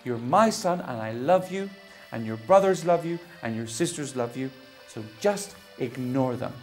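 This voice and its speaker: A woman's voice: a bass voice, expressive, moderate pace, a little mellow, faint music behind. A woman voicing a loving mother duck who soothes and reassures her child. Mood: soothing.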